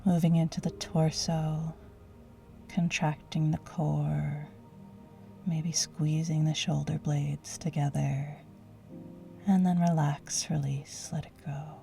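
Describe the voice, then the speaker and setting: Slow, hushed woman guiding relaxation. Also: low-pitched, little pitch movement, faint music behind. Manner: soothing, gentle, breathy hushed delivery. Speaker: guided meditation teacher. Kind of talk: guided yoga nidra relaxation.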